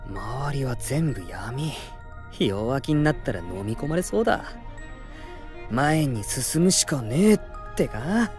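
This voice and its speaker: A brash, brave teenage boy hero, with widely swinging, animated pitch. His speech is rough and hot-blooded, like an anime space adventure. There is music in the background. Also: slow pace. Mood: awed, determined. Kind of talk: Japanese voice drama acting.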